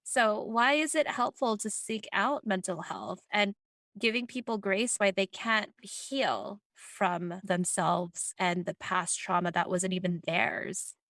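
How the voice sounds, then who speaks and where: A woman's voice: medium pitch, slow pace, fairly bright tone, dry recording. A woman hosting a podcast interview, chatting informally and often checking in with "like" and "right?". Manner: informal, peppers talk with "like" and "right?". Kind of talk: casual conversational interviewing.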